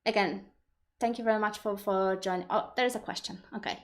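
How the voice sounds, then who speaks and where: A woman's voice: mid-range voice, steady tone, brisk. A woman with a Polish accent, a native Polish speaker, hosting a livestream and explaining ideas in a thoughtful, reflective way while answering viewer questions. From Poland. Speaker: livestream host. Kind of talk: live Q&A talk.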